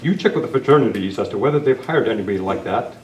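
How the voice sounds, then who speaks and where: An upright masked western hero, read by a man in old-time radio style. His mid-pitched delivery is formal and earnest. It sounds distant in an echoey room with faint noise. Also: lively intonation, fast, darkish tone, natural voice. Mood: deliberating. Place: club meeting room, live presentation.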